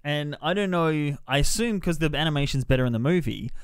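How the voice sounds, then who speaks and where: A man's voice: a little high, lively intonation, fairly quick, clean tone, no room sound, studio quality. A man giving a casual, rambling, informal film review as a podcast reviewer.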